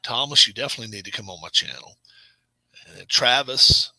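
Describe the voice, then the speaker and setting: A middle-aged man hosting a casual live stream, chatting with viewers in a rambling, self-correcting way and sometimes dropping to a low murmur. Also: brisk, bright, forceful tone, dry recording, poor audio. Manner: drops to a low murmur at times. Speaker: channel host.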